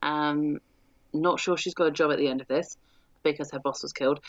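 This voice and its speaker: A woman's voice: low voice, fairly even intonation, brisk, dry recording. A woman chatting casually about a film as a co-host, explaining her points in a considered way, on a slightly dull microphone. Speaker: film discussion podcast host. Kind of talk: casual chat, film discussion.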